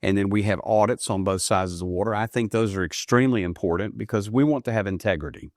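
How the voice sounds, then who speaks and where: A man in his fifties from the United States, answering interview questions earnestly, often rising in pitch for emphasis. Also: fast, darkish tone, dry recording, studio quality. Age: 50s. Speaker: guest, ministry leader. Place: studio.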